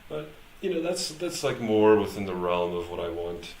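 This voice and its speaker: A man thinking aloud as he live-streams his programming work, talking casually and haltingly, muttering to himself as he goes. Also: lowish voice, very animated, darkish tone. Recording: roomy echo, clear background noise, typing.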